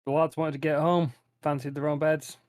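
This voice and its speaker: A man's voice: fairly high pitch, level tone, moderate pace, darkish tone, no room sound, clean studio sound, natural voice. A man voicing a plain-spoken old settler in a tabletop roleplaying game, casual, matey and down-to-earth. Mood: easygoing.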